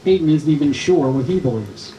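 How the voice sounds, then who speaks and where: A man's voice: on the high side, expressive, brisk, mellow. A man reading stage directions as scripted narration at a screenplay table read. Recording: echoey room with faint noise.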